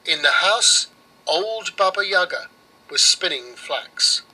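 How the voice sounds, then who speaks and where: A man narrating a children's storybook in a measured, expressive way. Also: sits high, low-quality recording.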